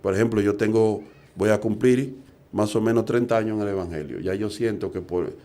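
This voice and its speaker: Low, mellow preacher man. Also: a little fast. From Dominican Republic. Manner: earnest, rhetorical questions, acts out dialogues. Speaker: evangelist preacher. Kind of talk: preaching talk.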